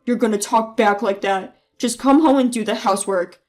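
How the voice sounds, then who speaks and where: A woman voicing a bullying, entitled husband who shouts and belittles his wife, with a lowered voice. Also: normal speed, faint music behind, lightly acted. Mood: angry. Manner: yelling, belittling, then pleading. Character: bullying entitled husband, loud mama's boy.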